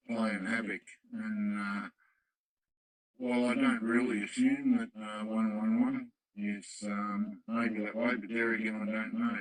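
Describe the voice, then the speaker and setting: An Australian man chatting casually on the radio, telling personal stories in a gentle, hesitant, reflective way. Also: lowish voice, low-quality recording. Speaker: radio club participant. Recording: dry radio-app voice transmission.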